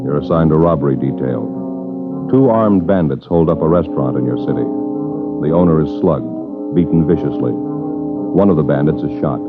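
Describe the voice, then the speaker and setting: A man's voice: extremely low pitch, lively intonation, normal speed. A man announcing a 1950s radio crime show and its sponsor with punchy, dramatic emphasis. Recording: tinny old radio with loud music.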